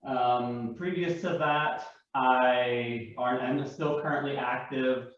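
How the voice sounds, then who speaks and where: A man's voice: medium pitch, steady tone, slow, fairly bright tone. A man talking casually as a guest on a panel. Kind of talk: casual self-introduction. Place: indoor room shared with others. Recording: very echoey, distant, dull.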